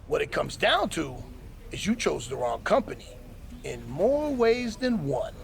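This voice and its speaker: A man playing a sly, smug wrestling manager in a video game cutscene, with a gravelly voice and taunting delivery. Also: a little high, very animated, a little slow, slight background noise, full character voice. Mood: smug, threatening. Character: sly, smug male wrestling manager scheming against a champion.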